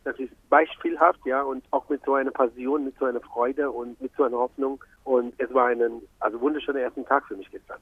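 A husky man from Sri Lanka talks earnestly and at length as a visiting doctor, often restarting his sentences. His voice sits at a middling pitch and comes through a dry, tinny phone-like line. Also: steady tone, fairly quick, few pauses, no echo. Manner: earnest, rambling, with many restarts. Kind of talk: interview answer.

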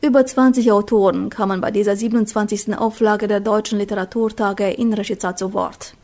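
A woman narrating a scripted radio news report in an even, measured read. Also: medium pitch.

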